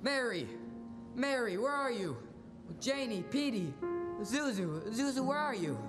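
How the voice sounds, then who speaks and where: Young man playing an agitated, animated family man. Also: very high voice, measured pace, music in the background. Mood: frantic. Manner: agitated, stammering. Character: frantic, bewildered family man losing his grip. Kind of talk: live radio play reading. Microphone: stand microphone on stage. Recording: reverberant school auditorium stage.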